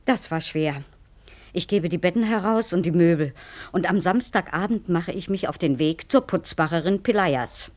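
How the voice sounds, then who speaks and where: A woman acts out a donkey driver's wife in a radio play, bossy and scheming. Her pitch swings widely as she pushes her point with impatient, worldly-wise certainty, in a close, dry recording. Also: animated, normal speed, a little mellow, no echo, lightly acted. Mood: satisfied, narrating. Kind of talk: German radio play acting, dialogue with asides.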